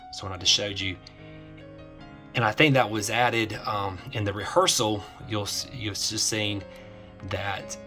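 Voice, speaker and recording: A man hosting an informal explainer commentary, halting as he repeats and restarts his phrases, with music behind him. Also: fairly low pitch. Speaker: host of an eighties film nostalgia series.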